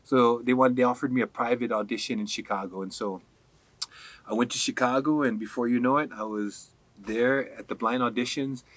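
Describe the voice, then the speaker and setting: Animated man from Hawaii telling stories. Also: mid-range voice, fairly quick. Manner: animated storyteller, laughs often. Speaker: podcast guest. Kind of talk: casual interview chat.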